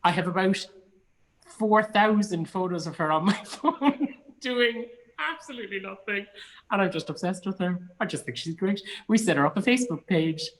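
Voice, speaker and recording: A man's voice: high voice, animated, moderate pace, a bit bright. A man with an Irish accent chatting casually and enthusiastically as a guest in an online conversation, speaking from a studio. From Cork, Ireland. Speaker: guest, actor and theatre producer. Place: indoors in a studio.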